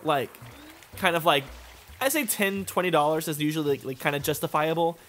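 A man's voice: fairly high pitch, brisk, fairly bright tone, a little hiss or hum. A man talking casually as he plays a video game, rambling and often saying "like," with some swearing. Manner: rambling, peppered with "like". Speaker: gaming video co-host and player. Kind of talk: casual chat over gameplay. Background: video game play.

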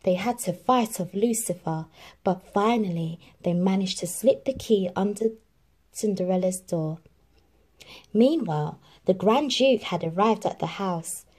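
A woman reading a children's storybook aloud in an expressive, animated narrating style. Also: mid-range voice, unhurried. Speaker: storybook reader.

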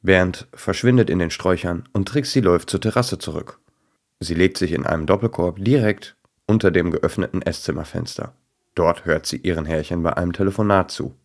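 A man's voice: deep voice, fairly quick, creaky. A man narrating a children's story as storyteller.

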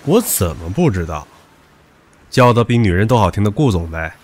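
Man acting a brash, husky-edged young suitor, wildly animated. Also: lowish voice, moderate pace. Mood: taunting, demanding. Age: young adult. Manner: cocky, crude, pushy. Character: stubborn, brash, possessive young male suitor. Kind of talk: modern audio drama acting.

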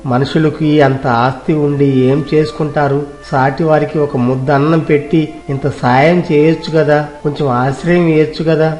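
A man's voice: on the high side, level tone, normal speed, a little mellow, close to an everyday voice. A man voicing a kind, humble young village cloth peddler in a story, earnest, deferential and pleading. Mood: bitter, sad. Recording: roomy echo, music behind.

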